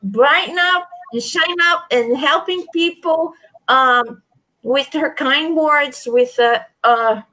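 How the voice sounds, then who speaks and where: A woman's voice: high voice, slow pace, bright, forceful tone, rough recording. A woman with an accent she says comes from a stroke, chatting on a livestream in an emphatic way, repeating herself and restarting her sentences. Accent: non-native, from a stroke. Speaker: livestream guest. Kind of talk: casual chat.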